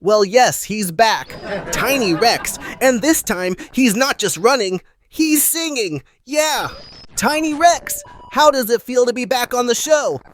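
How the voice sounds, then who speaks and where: High-pitched theatrical puppet host, voiced by a woman. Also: animated, a little slow, noisy background. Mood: showy, upbeat. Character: Benny, chatty puppet host, playful and theatrical. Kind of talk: scripted puppet show presenting.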